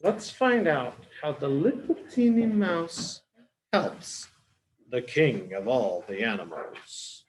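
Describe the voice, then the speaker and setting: A man reading a picture book aloud to young children as a teacher, explaining simply with deliberate pauses. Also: fairly high pitch, animated, slight background noise. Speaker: children's storybook reader, early education teacher.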